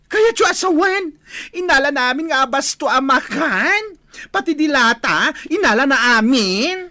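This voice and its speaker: A woman's voice: sits high, expressive, measured pace, bright, forceful tone, heavily acted. A woman with a Filipino accent acting a nagging, indignant young woman in a radio drama, scolding and emphatic.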